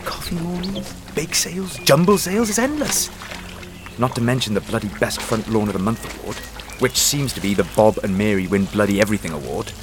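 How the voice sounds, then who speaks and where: An actor playing a weary, grumbling undercover agent in an audio drama. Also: male voice, fairly low pitch, lively intonation, a little fast, background noise, natural voice. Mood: exasperated. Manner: exasperated, complaining. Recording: loud outdoor ambience behind.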